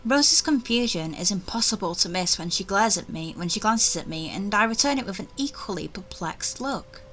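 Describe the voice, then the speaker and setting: A woman reading a visual novel aloud in first person, animated and chatty, with offhand asides. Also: medium pitch, moderate pace.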